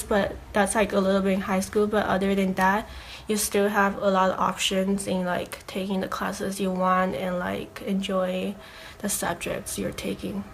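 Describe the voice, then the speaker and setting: A woman's voice: medium pitch, slight background noise, poor audio. A young woman, a college student, answering interview questions in a casual, reflective way with many fillers.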